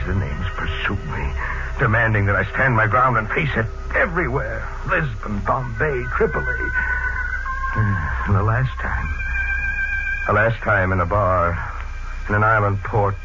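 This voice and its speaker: A haunted drifter, anguished and melodramatic in a low voice over prominent music. The old radio recording is dull and muffled. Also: male voice. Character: tormented, haunted drifter, a man denying his name. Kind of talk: 1940s radio acting.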